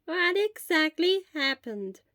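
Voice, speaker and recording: A woman's voice: very high voice, big pitch swings, slow pace, sharp tone, dry recording, studio quality, voice pushed up, exaggerated voice. A woman voicing a composed elderly lady nurse in a story, reassuring and grandmotherly in manner. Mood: inquiring.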